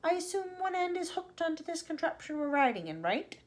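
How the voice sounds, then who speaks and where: A woman voicing a suspicious, cranky old grandmother in a storybook, croaky and querulous. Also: sing-song, a little fast. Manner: querulous, shrill when alarmed.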